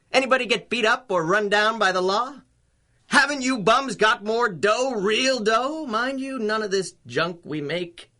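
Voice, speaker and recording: A man's voice: high voice, bright, forceful tone. An actor playing a short-tempered, swaggering gangster boss with slangy tough-guy talk in a 1930s-style radio drama. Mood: boastful.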